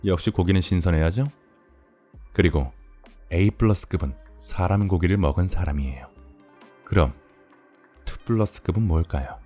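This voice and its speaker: A man's voice: low voice, normal speed, pauses often, dark tone. A man voicing a polite, sadistic cannibal farmer in a horror audio drama, explaining things in a courteous, leisurely, gloating way. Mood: calmly menacing. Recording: music behind the voice.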